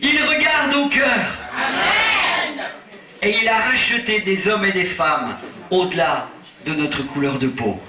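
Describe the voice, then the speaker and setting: A man from Portugal, an evangelist preaching at a church conference, impassioned and rising to shouts with chuckling asides. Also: high voice, lively intonation, slow pace, long pauses. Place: large church hall. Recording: echoey hall, voice from far off.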